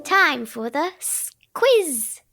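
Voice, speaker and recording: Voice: high voice, big pitch swings, extremely slow, vocal fry. A young-sounding voice announcing podcast segments in short jingle-like calls. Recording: loud music bed under voice. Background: loud music.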